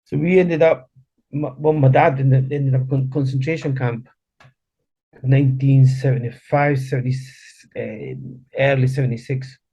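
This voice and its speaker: A middle-aged man, a native Spanish speaker from Chile, speaks as an interview guest. He tells a personal story in a conversational way, halting and restarting his phrases. The recording is slightly dull and lacks detail. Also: medium pitch, fairly even intonation, mellow, breathy edge.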